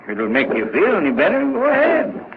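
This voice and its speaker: Folksy, lilting old-radio village constable. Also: male voice, sits high, huge pitch swings, brisk. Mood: puzzled, obliging. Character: folksy, nosy village constable, unhurried and suspicious. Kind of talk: 1940s radio drama acting. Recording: muffled, noisy 1940s radio recording.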